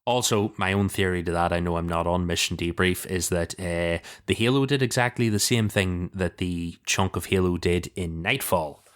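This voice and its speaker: A man with a deep, smooth and resonant voice chats on a podcast panel. He speaks informally, in a conversational way, as he thinks through his points. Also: fairly even intonation, normal speed, hardly any pauses. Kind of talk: casual podcast discussion.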